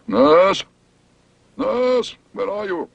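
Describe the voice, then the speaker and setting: A man doing a pompous, slow-talking dentist. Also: lowish voice, expressive, fairly bright tone, dry recording, heavily acted. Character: fussy, pompous middle-aged male dentist. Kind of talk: storybook reading.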